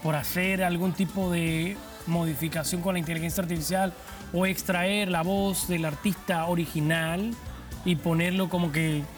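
A man's voice: high-pitched, steady tone, moderate pace, faint music behind. A man interviewing a guest, asking long questions and affirming often with short agreements. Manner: affirming, frequent "sí" and asides.

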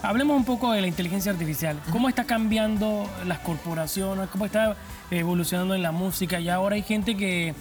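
A man with a high voice for a man hosts the interview, posing long, winding questions and chiming in with quick agreements. Faint music sits low in the background. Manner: affirming, frequent "sí" and asides.

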